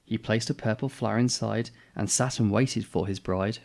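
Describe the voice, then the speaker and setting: A man's voice: lowish voice, moderate pace, creaky, darkish tone. A man reading a children's story aloud expressively, as the host of a read-aloud. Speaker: storybook reader, channel host.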